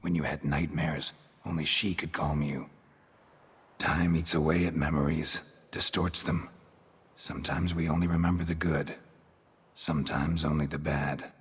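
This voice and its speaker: A man playing a stoic, world-weary monster hunter in a video game, with a raspy voice and a terse, deadpan delivery. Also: very deep voice, unhurried, big-room sound, full character voice. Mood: earnest persuasion. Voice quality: gravelly, raspy. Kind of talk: video game cutscene acting.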